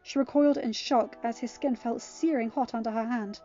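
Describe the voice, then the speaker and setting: A woman's voice: a little high, fairly even intonation, moderate pace, mellow, dull audio. A woman reading a scripted story aloud as narrator of an audiobook. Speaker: audiobook reader of fan fiction. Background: background music.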